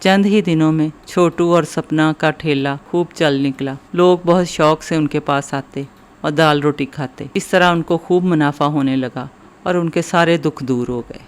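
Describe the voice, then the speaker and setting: Man, story narrator, scripted kids' moral tale, slightly high pitch. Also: measured pace, clear, resonant tone.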